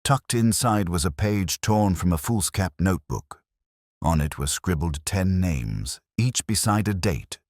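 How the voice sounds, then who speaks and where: A man narrating a scripted mystery in a measured, wry literary manner. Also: sits low, expressive, darkish tone, dry recording, studio quality.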